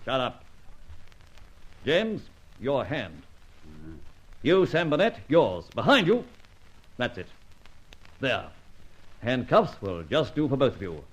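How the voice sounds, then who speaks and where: An actor playing a brisk, commanding police inspector with mocking sarcasm, in old radio detective drama style. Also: male voice, medium pitch, wildly swooping pitch, breathy edge, full character voice. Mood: stern. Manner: barking orders, sarcastic, theatrical. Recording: dull lo-fi old radio recording.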